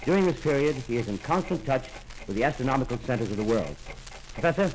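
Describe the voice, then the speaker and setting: An actor playing a polite, brisk radio field reporter describing a scene live in a 1930s radio drama. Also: male voice, mid-range voice, expressive, slight background noise, lightly acted, poor audio. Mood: earnest.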